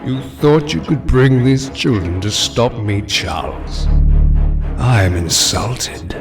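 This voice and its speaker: An actor playing a menacing older supervillain, theatrical and haughty, in an audio drama. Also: male voice, mid-range voice, animated, gravelly, far from the mic, music in the background. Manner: theatrical, haughty, drawn-out phrasing. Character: menacing older male mutant supervillain, imperious and scornful.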